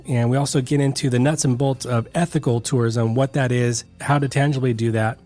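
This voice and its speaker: An upbeat man hosting a travel podcast, with a voice in a middle range. He reads his prepared intro smoothly, then shifts into friendly, easygoing chat with his guest. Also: mid-range voice, warm tone. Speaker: podcast host. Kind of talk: scripted intro then casual interview.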